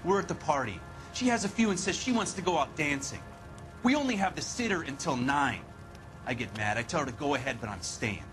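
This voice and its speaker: An American actor playing a defensive, resentful husband under police suspicion in a video game, speaking plainly. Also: male voice, fairly high pitch, lively intonation, fairly quick, over music, lightly acted. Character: defensive, resentful husband suspected of killing his wife. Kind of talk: video game dramatic acting.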